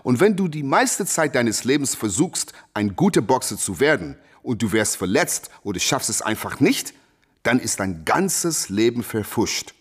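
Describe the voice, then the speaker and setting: An actor playing an outspoken male boxer, husky, exhorting in short emphatic sentences as in an interview. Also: medium pitch, sing-song, a little slow. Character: outspoken male boxer preaching education over fighting.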